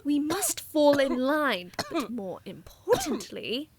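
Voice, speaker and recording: A woman's voice: sits high, huge pitch swings, slow pace, creaky, heavy background noise, full character voice. A woman voicing a fussy, commanding noble mother with a theatrical manner. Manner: theatrical, bossy.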